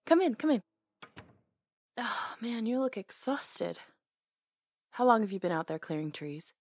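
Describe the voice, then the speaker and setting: A woman's voice: on the high side, sing-song, measured pace, darkish tone, no echo, clean studio sound. A woman acting in an intimate ASMR roleplay as a hair stylist, talking casually in a caring, teasing way.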